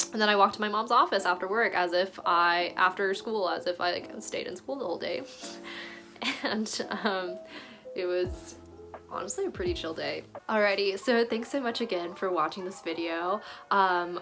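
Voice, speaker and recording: A young woman in her twenties tells casual stories straight to camera, sprinkling "like" through every sentence and breaking into small laughs. Her voice sits at a middle pitch for a woman. Clear background music plays beneath her the whole time. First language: Chinese. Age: 20s. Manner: chatty, heavy use of "like", giggly asides. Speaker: vlogger. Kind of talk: casual chat.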